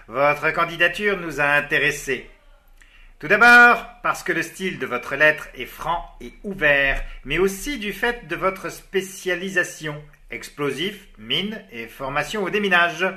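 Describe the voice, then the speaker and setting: An actor playing a polite, businesslike recruiter who conducts a job interview in formal, courteous tones, in a scripted learner dialogue. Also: male voice, fairly high pitch, animated, measured pace, pushed and bright, heavily acted.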